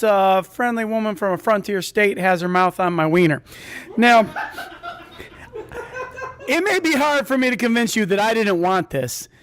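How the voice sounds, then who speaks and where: A man's voice: sits high, a bit bright. A man telling a comic true story in a conversational, self-interrupting way, as a storyteller on stage.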